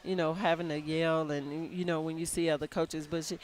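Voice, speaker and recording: A woman basketball coach in a live radio interview, soft-spoken and earnest, often restarting her sentences. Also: sits low, flat delivery, brisk, poor audio.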